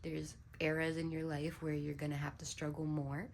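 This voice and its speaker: Slightly hoarse woman vlogging, gravelly low voice. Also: level tone, moderate pace, slight background noise. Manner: rambling, musing, frequent throat clearing. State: a little hoarse. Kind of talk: casual reflective vlog talk.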